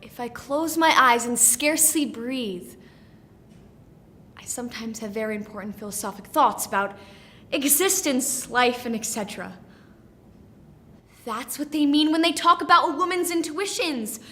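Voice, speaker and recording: Naive pregnant girl, woman actor: rambling, earnest theatre monologue, high bright voice. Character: chattering, dreamy, pregnant young Irish bride-to-be.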